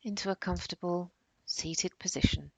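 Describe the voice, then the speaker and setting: A woman guiding a body-scan meditation in a calm, soothing, unhurried way, with long pauses and soft, breathy phrase endings. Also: fairly low pitch, no room sound, poor audio. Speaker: meditation guide.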